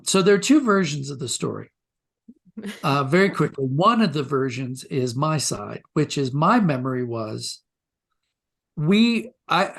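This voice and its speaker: A man's voice: on the high side, creaky, a bit bright. An older man with an American accent telling anecdotes conversationally as an interview guest, reflective and self-deprecating.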